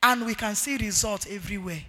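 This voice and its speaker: A middle-aged woman with a Nigerian accent and a loud, forceful voice, preaching a marriage sermon emphatically and repeating key phrases. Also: medium pitch, fairly quick. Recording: echoey hall with long reverb.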